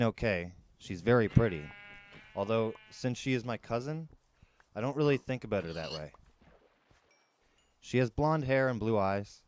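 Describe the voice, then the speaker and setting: A man's voice: lowish voice, a little mellow, a little hiss or hum. A man narrating a young adult novel as a storyteller, in a conversational first-person manner. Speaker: podcast host and storyteller.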